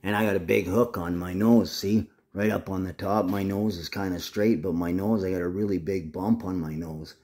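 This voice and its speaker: A man's voice: deep voice, steady tone, normal speed, hardly any pauses, darkish tone. A man talking casually to the camera in a rambling, agitated monologue full of swearing.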